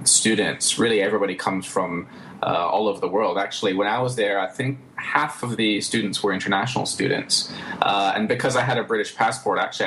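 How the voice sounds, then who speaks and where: A man from the United States talking as an interview guest, relaxed and hesitant, with easy laughs. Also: lowish voice, a little hiss or hum, poor audio. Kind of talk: casual interview talk.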